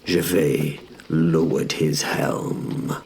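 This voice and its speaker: A man playing a reflective young knight, telling his story in measured, solemn, hushed dramatic narration with deliberate pauses. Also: steady tone, full character voice. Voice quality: low, gravelly. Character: young male knight William, reflective, brave yet frightened. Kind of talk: scripted first-person dramatic narration. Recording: clear background noise and ambience.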